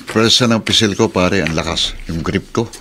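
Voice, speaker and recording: Proud, low-voiced working-class father. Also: moderate pace, fairly bright tone, slight background noise. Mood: proud, reflective. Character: middle-aged father, one-legged pedicab driver, proud. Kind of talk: Filipino radio drama acting.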